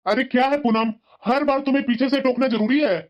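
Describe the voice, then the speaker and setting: A dull, lo-fi track carries a woman acting as an irritable cartoon father who resents his daughters. The voice is fairly high, grumbling and evasive, as in a family moral story. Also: steady tone, fairly quick, natural voice. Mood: irritated.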